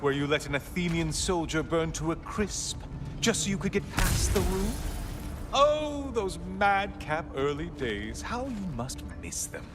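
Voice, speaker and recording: An actor playing a sardonic, taunting sun god in a video game, with theatrical, mock-cheerful sarcasm. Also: male voice, fairly high pitch, very animated, a little slow, music in the background. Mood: mocking, gleeful. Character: taunting, sardonic sun god, gleefully mocking old foe. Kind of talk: dramatic video game acting.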